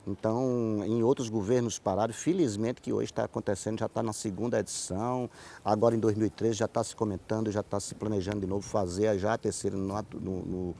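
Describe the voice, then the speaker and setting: A man's voice: fairly low pitch, steady tone, fairly quick, mellow, a little hiss or hum. A middle-aged man with a Northeastern Brazilian accent from Paraíba, talking at length and explaining in a TV interview. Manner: talkative, explanatory, repeats and rephrases. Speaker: interview guest, writer and playwright. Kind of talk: conversational TV interview answers.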